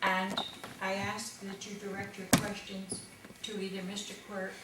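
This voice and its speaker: A woman chairing a town meeting, introducing the speakers in a measured way and setting out the agenda. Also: mid-range voice, monotone. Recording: echoey hall from far off with hiss.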